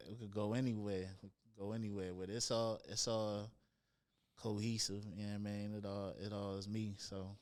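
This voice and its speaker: Young guy w a nasal voice, kinda low, smooth and clean on a podcast mic, chill slangy storytelling. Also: little pitch movement, a little fast. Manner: laid-back slang-heavy storyteller. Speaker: interview guest, rapper. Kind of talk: casual interview storytelling. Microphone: studio podcast mic near the nose.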